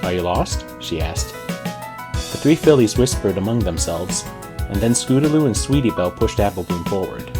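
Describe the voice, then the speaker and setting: A man's voice: fairly low pitch, lively intonation, normal speed, music in the background. A man reading a story aloud as an amateur narrator. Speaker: amateur fan fiction reader. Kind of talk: scripted storybook narration with character dialogue.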